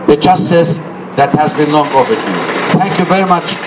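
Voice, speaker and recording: A man's voice: on the high side, heavy background noise. Impassioned man speaking at an outdoor rally. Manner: measured, impassioned oratory. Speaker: ambassador giving a speech. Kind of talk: formal public speech at a rally.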